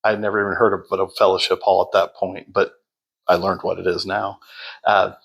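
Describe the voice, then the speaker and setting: A man telling his story as a podcast guest in a loud, projecting voice, unhurried, with many fillers and restarts. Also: deep voice, level tone, creaky, slightly rough. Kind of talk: casual storytelling.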